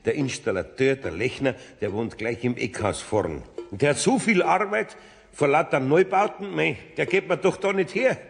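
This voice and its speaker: An actor playing an older, grumbling but good-natured bachelor carpenter with a Bavarian accent in a children's radio play. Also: male voice, mid-range voice, expressive, normal speed, vocal fry, big-room sound, faint music behind. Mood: wistful, sighing.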